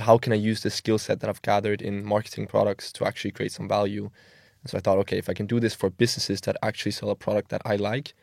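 A man's voice: on the low side, gravelly, a little mellow, dry recording, clean studio sound. A man of twenty-one from Denmark, talking earnestly as a podcast guest and often repeating words as he goes. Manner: earnest, quick, with frequent word repeats. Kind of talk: casual interview talk.

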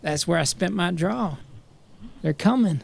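An actor as a gravelly, volatile American frontiersman. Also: high-pitched, expressive, unhurried, exaggerated voice. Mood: grimly eager. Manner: rough, folksy, colloquial. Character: volatile, hard-bitten frontier brother, a scheming timber claimant. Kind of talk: dramatic film dialogue reading.

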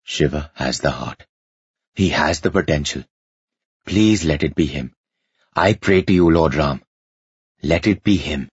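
A man voicing an earnest, devoted follower full of hope, speaking in a measured way. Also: a bass voice, breathy edge, dull audio, pitched below the performer's own voice, heavily acted. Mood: reverent, pleading. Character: hopeful devoted male follower, earnest, urging, reverent.

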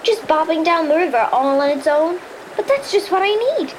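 A woman's voice: very high-pitched, normal speed, slight background noise, poor audio. A woman playing an adventurous young girl with an Irish accent in a fairy-tale audio drama. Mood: breathless, determined.

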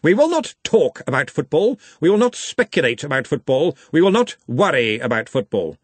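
A man's voice: on the high side, sing-song, moderate pace, no echo, pitched above the performer's own voice. A man voicing a pompous older wizard in charge, with an oratorical manner, in a fantasy audiobook.

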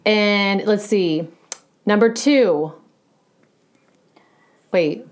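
Loud-voiced woman chatting playfully. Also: mid-range voice, very slow. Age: adult. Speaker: podcast co-host. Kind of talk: casual chat.

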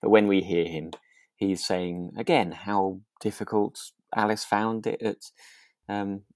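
A man co-hosting a podcast in relaxed chat, speaking tentatively as he thinks aloud. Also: on the low side, a little slow. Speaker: podcast co-host. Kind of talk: relaxed chat discussing a radio drama.

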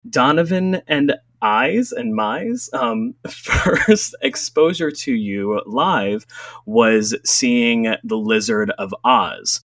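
A man's voice: very slow, fairly bright tone, no room sound. A man co-hosting a casual podcast, teasing and dry in his humor. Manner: dry, teasing, drops low when joking. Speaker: podcast co-host. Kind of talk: casual podcast chat and interviewing.

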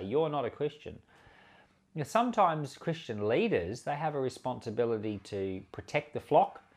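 A man giving an informal sermon commentary on scripture as a pastor, earnest and conversational, leaning hard on key words. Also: mid-range voice. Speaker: pastor and Bible teacher.

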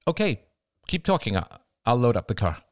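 Brisk father, voiced by a male narrator, wry, reassuring, animated pitch, dry close sound, audiobook. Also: no room sound, close to an everyday voice. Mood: hurried. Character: tense but teasing, reassuring father. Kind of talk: audiobook character voice.

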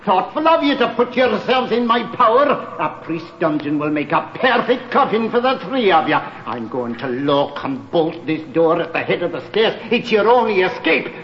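A muffled, faintly noisy old radio recording presents a gloating, cunning villain. His voice sits high for a man, and he taunts his victims with theatrical menace. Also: sing-song, normal speed, few pauses, reverberant. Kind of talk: 1940s radio drama acting.

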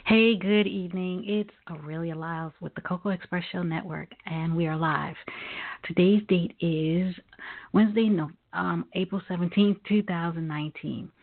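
A woman hosting a live internet talk radio show with a halting, reflective delivery. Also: lowish voice, steady tone. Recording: phone-like lo-fi internet radio line.

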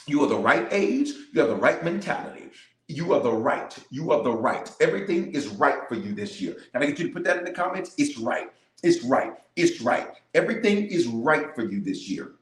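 A man's voice: medium pitch, sing-song, fairly quick, echoey room. A man, a bishop, leading a livestreamed prayer with fervent, repetitive declarations and calls to the viewers. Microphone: cameras in front of him.

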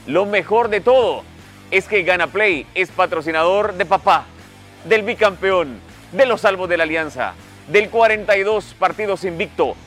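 A man's voice: high-pitched, moderate pace, fairly bright tone, soft background music. A Salvadoran man hosting a sports podcast with casual, teasing banter and sponsor reads. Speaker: podcast host.

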